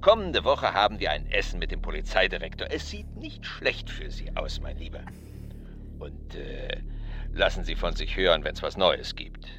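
An actor playing a smooth, evasive, calculating male mayor in a radio crime drama, condescending and insinuating. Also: very deep voice, very animated, normal speed, over music, heavily acted.

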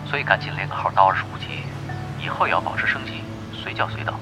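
A man's voice: low-pitched, lively intonation, fast talker, over music. An actor playing a laid-back, teasing expert gamer in his twenties in a Chinese audio drama, casual and assured. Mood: relaxed, teasing.

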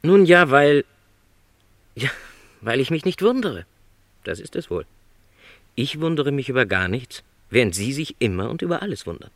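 Gentle middle-aged salesman, acted by a man, widely swooping intonation. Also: medium pitch, sing-song, normal speed, pauses often, no echo, close to an everyday voice. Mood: resigned. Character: no longer young, lonely travelling salesman, gentle, resigned. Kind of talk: German radio play dialogue.

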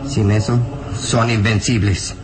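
An actor playing a burly, frightened and bitter peasant villager in a melodramatic radio drama, anguished, emphatic and halting. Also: male voice, fairly low pitch, flat delivery, very noisy, natural voice. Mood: horrified.

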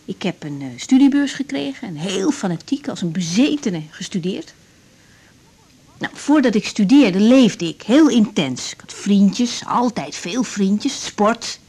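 An actress playing an idealistic young doctor in a radio drama, speaking candidly with reflective hesitations. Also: female voice, medium pitch, animated, a little slow, dark tone, husky. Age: young adult. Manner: hesitant, reflective, self-searching. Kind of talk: naturalistic radio drama dialogue.

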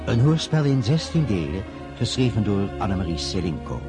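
A man reads the opening credits of a radio play in a slow, solemn way. His low voice carries a lively melody. Loud music plays beneath him. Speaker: announcer. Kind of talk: formal radio play announcement. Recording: announcement over loud music.

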